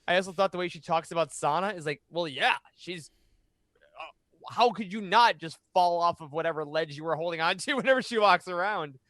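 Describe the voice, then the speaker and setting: A man's voice: sits high, brisk, fairly bright tone, dry recording, clean studio sound. An American man in his thirties chatting enthusiastically as a podcast panelist in a casual discussion. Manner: enthusiastic, gushing. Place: home room. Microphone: close boom-arm podcast mic.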